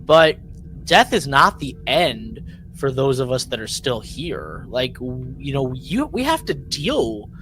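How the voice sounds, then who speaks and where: A man's voice: medium pitch, big pitch swings, takes it slowly, fairly bright tone, poor audio. A man with a mid-range voice talks through a story as a podcast co-host. His delivery is conversational and exploratory, circling back to check his points. There is noticeable noise in the recording. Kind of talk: casual discussion recapping a drama episode.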